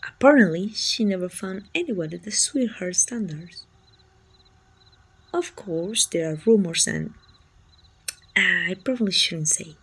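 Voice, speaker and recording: A woman's voice: lowish voice, lively intonation, measured pace, pauses often, breathy edge, exaggerated voice. A woman with a Mexican accent playing a friendly, helpful, chatty city guard from a medieval fantasy kingdom in an ASMR roleplay, speaking reassuringly and politely to the listener. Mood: chatty, informative.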